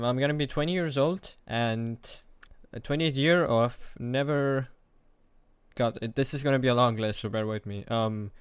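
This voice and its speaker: Late-teens man speaking in a confessional vlog. His voice is smooth and clear, recorded dry with no room sound. He talks haltingly and runs himself down. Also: medium pitch, no echo. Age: young adult. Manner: hesitant, halting, self-deprecating. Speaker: vlogger. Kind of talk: confessional monologue to camera.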